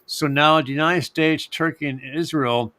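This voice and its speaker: A man from Pennsylvania with a light Pennsylvania accent, hosting a rambling, halting live chat. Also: on the high side, fairly even intonation, bright, forceful tone, no echo. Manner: rambling, halting, many fillers and restarts. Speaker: host. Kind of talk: casual live-stream chat.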